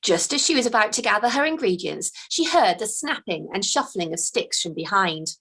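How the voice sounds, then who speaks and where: A woman author reading her children's book aloud with expressive, unhurried storytelling. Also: mid-range voice, husky.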